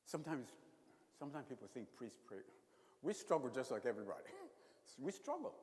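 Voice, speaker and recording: An older man delivers a sermon, his pitch rising and falling with lively swings. He preaches in an animated way, repeating phrases and slipping in humor. His voice carries a long reverb through the church. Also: brisk. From Uganda. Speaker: retired priest.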